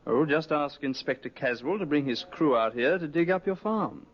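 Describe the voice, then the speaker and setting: An actor playing a sly, confident, needling investigator in an old radio drama. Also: male voice, fairly high pitch, animated, moderate pace. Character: sly, needling, confident man prodding suspects and police. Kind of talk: 1950s radio drama acting. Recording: muffled vintage radio recording.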